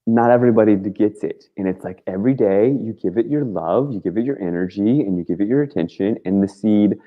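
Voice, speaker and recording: A man with a very low voice speaks in a casual, rambling storytelling style. His delivery is earnest and emphatic, peppered with slang. The audio is somewhat dull and lo-fi, like a call-in show. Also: a little fast. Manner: informal and slangy, with frequent "like" and mild swearing. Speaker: talk show guest, activist.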